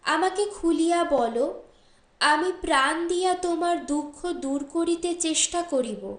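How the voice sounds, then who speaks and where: A woman's voice: very high voice, lively intonation, unhurried. A woman voicing an anguished, devoted young wife in an audiobook story, earnest and pleading. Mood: distraught, pleading. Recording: roomy echo.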